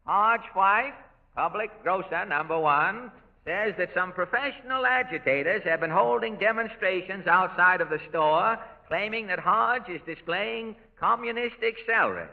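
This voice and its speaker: Male 1930s radio comic newsreader, high voice with gravelly creak, thin tinny broadcast sound. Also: expressive, moderate pace. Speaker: radio comedy monologist. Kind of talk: 1930s radio comedy news bulletin.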